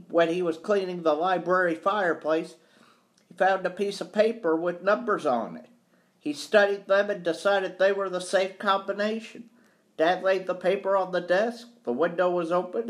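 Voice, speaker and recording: A man's voice: high voice, pitched above the performer's own voice, lightly acted. A man voicing a shaken, earnest teenage boy who is anxious for his father, in a storybook.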